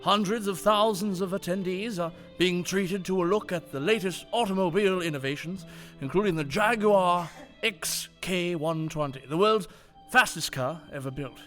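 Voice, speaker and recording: A man playing an unflappable, pompous 1940s news anchor in a comedy radio drama, speaking in a measured, dryly sceptical manner. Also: sits high, fairly bright tone, soft background music. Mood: formal, announcing.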